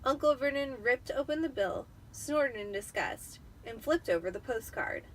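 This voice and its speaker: A woman's voice: on the high side, lively intonation, normal speed, recorded from a distance, low-quality recording. A tipsy woman doing an amateur, dramatic reading of a story as narrator. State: tipsy from wine. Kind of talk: dramatic audiobook-style storytelling.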